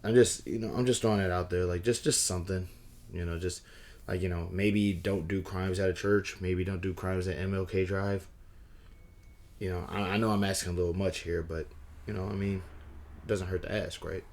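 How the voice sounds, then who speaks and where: Man, hoarse, very low voice, casual vlog, rambling, wry. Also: steady tone, moderate pace, a little mellow. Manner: rambling, frequent restarts and fillers; wry, conversational. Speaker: vlogger. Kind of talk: casual talking-head vlog.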